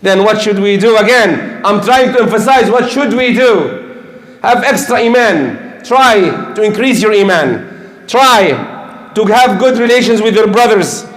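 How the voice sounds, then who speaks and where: A man's voice: sits high, expressive, unhurried. An older man preaching a sermon in English, emphatic and repeating his key points. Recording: echoey room.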